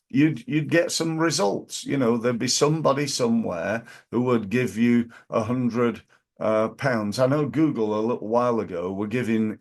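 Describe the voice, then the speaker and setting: Hoarse, gravelly man coaching. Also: medium pitch. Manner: chatty, repeats and restarts words, pushy encouragement. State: hoarse from flu. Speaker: webinar host, business coach. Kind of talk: informal teaching webinar. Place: office, in front of a background screen.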